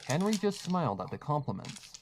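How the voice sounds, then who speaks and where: A man's voice: on the high side, sing-song, a little fast, a little hiss or hum. A man reading scripted horror fiction as a storytelling narrator.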